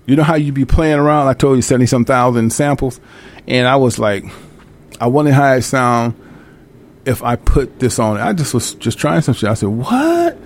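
A man's voice: mid-range voice, expressive, moderate pace. A man hosting a radio show, chatting casually about making a song, playful and loose, mimicking drum beats and swearing freely. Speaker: radio host and music producer.

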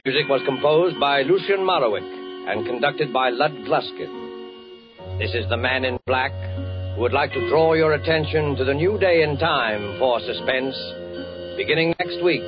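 A man hosting a 1940s radio suspense series, reading scripted announcements in a measured, portentous way. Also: medium pitch. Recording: muffled vintage 1940s radio broadcast. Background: music.

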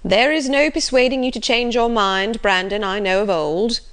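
A woman voicing a hearty, insistent country gentleman in a storybook, emphatic and blustering. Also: fairly high pitch, moderate pace, pitched above the performer's own voice, lightly acted. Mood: persuading. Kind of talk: acted storybook dialogue.

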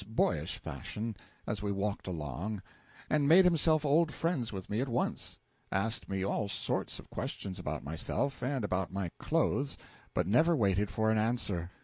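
A man's voice: medium pitch, darkish tone, no room sound, clean studio sound. A man narrating an audiobook in the first person, expressively and with wry, dramatic phrasing.

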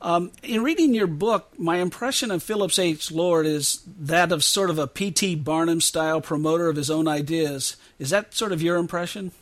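A man's voice: fairly high pitch, normal speed, hardly any pauses, a bit bright, no room sound. A man hosting a podcast and interviewing in a conversational style. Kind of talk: conversational radio-history interview.

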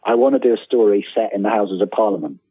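A man's voice: lowish voice, fast talker, slightly rough. A middle-aged British man chatting casually as an interview guest, self-deprecating, often repeating words as he tells anecdotes. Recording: thin phone-like line, roomy echo.

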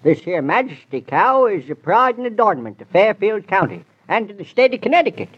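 An actor playing a talkative old farmer with a drawling rustic dialect, in a 1940s radio play. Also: male voice, high-pitched, sing-song, brisk, fairly bright tone, slightly rough, heavily acted. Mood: boastful. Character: folksy old New England farmer, boastful and talkative. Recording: muffled old radio broadcast.

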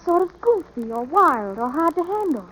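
A woman's voice: high voice, very animated, moderate pace, fairly bright tone, poor audio. A performer voicing an eager, anxious young American ranch boy, earnest and hesitant, in 1940s radio drama style. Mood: worried. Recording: vintage radio drama recording.

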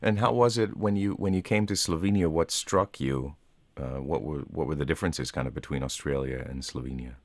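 A man interviewing a guest in a relaxed, curious way, with short acknowledgements between questions. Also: a bass voice, a little fast, no room sound, studio quality.

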